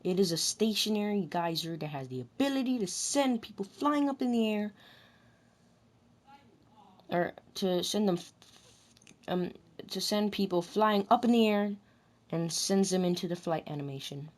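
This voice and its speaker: A woman recording scripted commentary about a video game, deliberately delivering lines and often rephrasing them in retakes. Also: medium pitch, lively intonation. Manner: deliberate, restarts and rephrases whole sentences.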